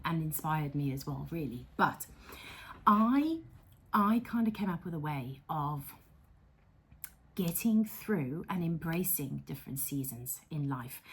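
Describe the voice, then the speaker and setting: A middle-aged South African woman hosting a casual vlog, chatty and self-deprecating, dropping into soft whispery asides. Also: sits low, husky. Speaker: psychotherapist and channel host. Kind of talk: casual talking-to-camera vlog. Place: her counselling room.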